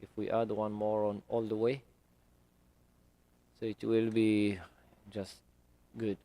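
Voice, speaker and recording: A man with English as his third language, live-streaming his trading and talking through it slowly with many fillers at a home desk. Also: on the low side, monotone, warm tone. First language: not English. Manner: slow, hesitant, many fillers.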